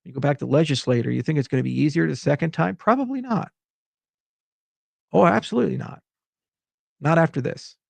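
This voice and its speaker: A man's voice: a little high, fairly quick, vocal fry, pauses often, no room sound. A man from Hawaii delivering an exasperated, rambling unscripted monologue as a tech founder giving commentary.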